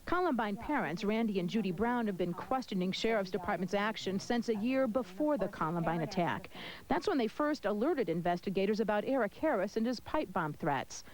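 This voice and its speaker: A woman's voice: mid-range voice, expressive, brisk, a little mellow, low-quality recording. A woman with an American accent delivering a broadcast news report as a television reporter.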